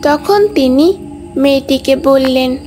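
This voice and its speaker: A woman's voice: high voice, slow, darkish tone. A woman narrating a scripted cartoon story, with music behind her voice.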